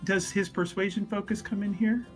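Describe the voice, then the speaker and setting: A man improvising in a tabletop roleplaying game, with a hesitant, halting delivery. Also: high-pitched, steady tone. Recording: music playing behind the voice. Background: background music.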